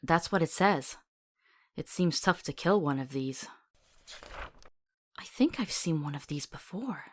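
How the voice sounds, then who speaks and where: A woman's voice: deep voice, lively intonation, unhurried, long pauses. An actress playing a sardonic, practical woman who travels as a companion in a fantasy visual novel, with a wry manner. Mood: thoughtful.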